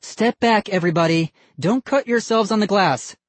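A man voicing a brisk, commanding mother who organizes the children in a storybook reading. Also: sits high, sing-song, husky, no room sound, pitched above the performer's own voice, heavily acted. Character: bossy caring mother hosting kids.

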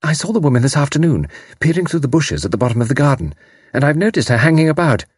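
A man voicing a brisk, sensible woman in an audiobook, firm, practical and reassuring. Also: fairly high pitch, very animated, darkish tone, slightly rough, no room sound, studio quality, pitched above the performer's own voice. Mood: brisk, explaining. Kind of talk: audiobook character voice.

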